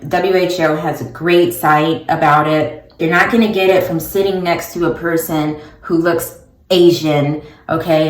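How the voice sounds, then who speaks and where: A middle-aged woman, a social epidemiologist, explaining in a measured way as she narrates and vlogs. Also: low voice. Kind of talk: personal vlog and voice-over narration.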